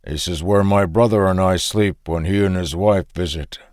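A man playing a gruff, deep-voiced farmer. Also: moderate pace, no room sound, studio quality, lightly acted. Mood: fatherly. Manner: brusque, loud, fatherly. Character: stout middle-aged male farmer, gruff and condescending.

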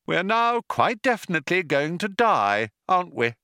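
A man's voice: high-pitched, big pitch swings, normal speed, bright, forceful tone, clean tone, dry recording, studio quality, voice pushed up, heavily acted. A man voicing an anxious, exasperated Englishman in a comic story, fretful and insistent. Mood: resigned panic.

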